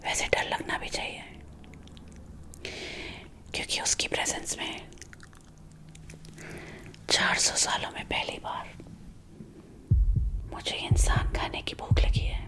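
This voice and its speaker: A woman whispering as a protective, centuries-old female vampire girlfriend in an ASMR roleplay, hushed and intimate, close to a binaural mic. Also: takes it slowly, pauses often. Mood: hungry, intense.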